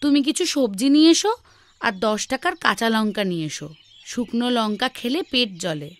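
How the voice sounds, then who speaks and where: A woman voicing village women in a children's cartoon with expressive, emphatic dramatic delivery. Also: fairly high pitch, a little fast. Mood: plain, concerned. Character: village women: maid, mistress, wife, daughter. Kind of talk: Bengali children's cartoon voice acting.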